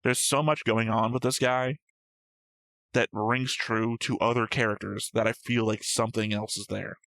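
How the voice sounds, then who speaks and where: A man's voice: lowish voice, fairly even intonation, normal speed, creaky, a bit bright, dry recording. A man co-hosting a reaction show, reasoning aloud in a casual, argumentative discussion of story theories. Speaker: co-host of an anime reaction show.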